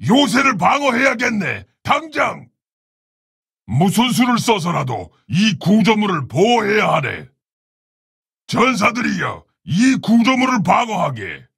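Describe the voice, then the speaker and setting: An actor playing a proud, honorable orc warrior shaman in a video game, speaking in a gravelly, husky, solemn and commanding way. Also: high-pitched, sing-song, unhurried, fairly bright tone, no room sound, shouting, studio quality, heavily acted. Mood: urgent battle commands. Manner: solemn, commanding, archaic formal phrasing. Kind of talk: video game character battle lines.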